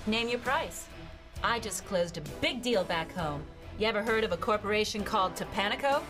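An actress playing an impatient, brash city wife stranded on the road in an audio drama. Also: female voice, mid-range voice, lively intonation, moderate pace, over music, lightly acted. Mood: coaxing. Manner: impatient, pushy, sardonic.

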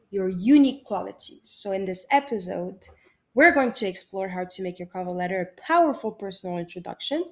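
A woman's voice: mid-range voice, a little mellow. A woman from Morocco hosting a solo podcast, giving upbeat, motivational career advice in short, emphatic phrases. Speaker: podcast host and career coach.

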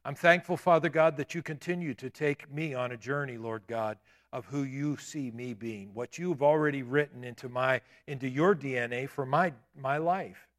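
A man in his late fifties preaching a sermon in a church, with emphatic declarations and quieter asides. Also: medium pitch, moderate pace. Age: 50s. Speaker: pastor. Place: church sanctuary.

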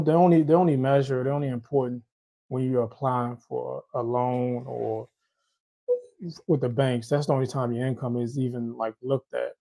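A man with a Haitian accent talks through listener questions in an easygoing, rambling way. His voice is gravelly and dark, sitting at a middle pitch, and he often circles back to repeat a phrase. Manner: loose, repeats and restarts phrases. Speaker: host. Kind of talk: casual credit advice Q and A.

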